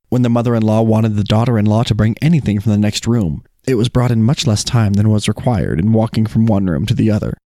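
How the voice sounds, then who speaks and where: A man's voice: fairly low pitch, darkish tone, no echo, studio quality. A man co-hosting a folk tale podcast, talking and telling stories. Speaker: podcast co-host. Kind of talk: casual chat and storybook reading of folk tales.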